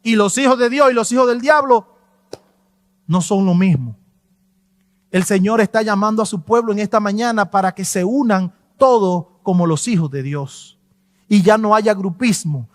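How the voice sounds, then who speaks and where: A male pastor delivers a fervent sermon with an unusually high voice and hammering repetitions. The mic sits close and dry, yet a long reverb trails through the church hall. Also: moderate pace. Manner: fervent and emphatic, repeats "no, no, no". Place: church sanctuary.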